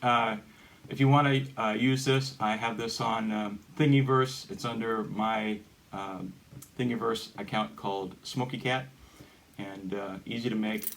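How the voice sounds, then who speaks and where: A man presenting a hobby gear tutorial in a casual, unscripted way, with halting, rambling explanations. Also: fairly low pitch, steady tone, fairly bright tone. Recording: noticeable background noise with clicks.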